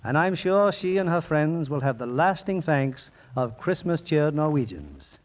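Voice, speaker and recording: A man announcing and narrating a scripted 1940s radio drama in a measured, formal manner. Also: fairly high pitch, big pitch swings. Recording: dull old radio recording with music.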